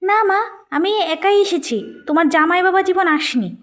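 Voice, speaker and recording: A woman acts out a spoiled young cartoon wife with a very high-pitched voice. She is haughty and sulky, snapping and complaining as if every chore were an insult. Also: a little fast, a bit bright. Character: haughty young wife, sulky, work-shy daughter and sister-in-law.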